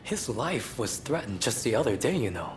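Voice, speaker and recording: An actor playing an earnest, cautious samurai scholar in a video game, speaking formally and articulately. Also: male voice, mid-range voice, big pitch swings, normal speed, natural voice. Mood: suspicious. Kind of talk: video game cutscene acting. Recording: background music under the voice.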